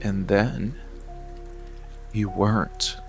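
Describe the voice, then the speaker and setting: A man voicing a dark, seductive, protective monster in an intimate roleplay ASMR style, gravelly and deliberate, with long pauses. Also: on the low side, full character voice. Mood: dark, soothing menace. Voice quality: gravelly, creaky. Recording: rain and music behind the voice. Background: steady rain and music.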